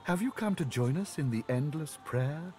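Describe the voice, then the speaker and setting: An actor playing a solemn, devout cathedral brother in a fantasy game, speaking in a measured, formal way. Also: male voice, on the high side, expressive, over music, lightly acted. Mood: pious welcome. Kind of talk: fantasy video game acting.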